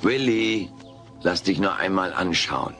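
A man's voice: sits low, animated, a little slow, a bit bright, faint music behind, exaggerated voice. A man playing a wisecracking, theatrical alien creature in a German comedy audio play, talking in a pompous, melodramatic way. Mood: solemn. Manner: pompous, melodramatic, mock-philosophical.

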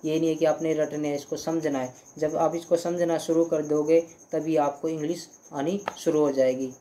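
A young man teaching English grammar in Hindi, going slowly through example sentences, repeating them and often checking "clear?". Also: on the high side, mellow. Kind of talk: Hindi-language grammar lesson.

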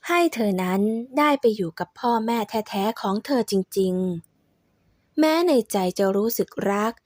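A woman narrating a folk tale in a steady, measured storytelling voice. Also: mid-range voice, dry recording, studio quality.

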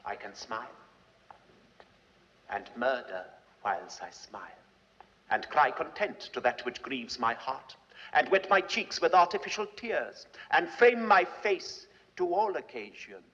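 An actor playing a scheming, bitter hunchbacked duke who wants the crown, in a classical Shakespearean film soliloquy. He speaks in measured, sardonic verse with sudden intense outbursts and a breathy tone. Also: medium pitch, expressive, bright, forceful tone, full character voice. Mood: sly. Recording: dull old film soundtrack with long reverb.

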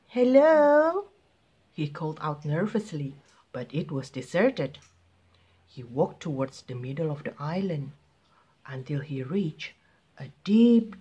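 A woman narrates a picture book for children. She reads slowly and deliberately, with very expressive, lively pitch. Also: slightly rough. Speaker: children's story reader.

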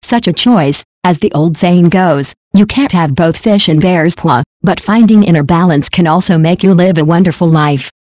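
Dry, even-voiced celebrity news narrator. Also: mid-range voice, moderate pace, hardly any pauses, no room sound.

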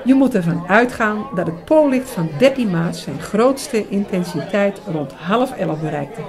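A woman's voice: medium pitch, animated, a little slow, natural voice. Woman acting an inquisitive woman amid busy chatter. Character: inquisitive, sharp-minded female companion of a priest. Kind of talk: Dutch radio drama dialogue. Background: busy restaurant crowd.

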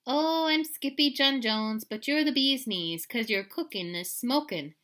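A woman's voice: very high voice, wildly swooping pitch, unhurried, bright, forceful tone, pitched above the performer's own voice, heavily acted. A woman voicing a playful, boastful kitten boy in a storybook, speaking in a bouncy sing-song. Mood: excited.

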